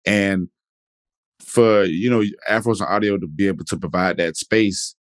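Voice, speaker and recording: A man's voice: deep voice, normal speed, no echo. A man hosting a podcast, asking questions in a loose, conversational way. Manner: loose and conversational, often adds "you know what I'm saying". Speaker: podcast host. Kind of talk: casual interview chat.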